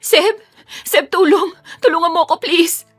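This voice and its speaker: A woman voicing a terrified but defiant woman in an audio drama, with loud, desperate cries. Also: very high voice, measured pace, no echo, soft background music, voice pushed up. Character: terrified, defiant young woman fighting off an attacker.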